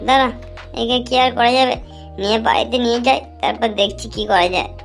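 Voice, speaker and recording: A brash young village woman played by a woman in a cartoon, with a high voice. She grumbles to herself and scolds others bluntly, with music playing behind her. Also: fast talker, creaky. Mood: resigned. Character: brash, foul-mouthed young village clothes-seller woman. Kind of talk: cartoon dialogue.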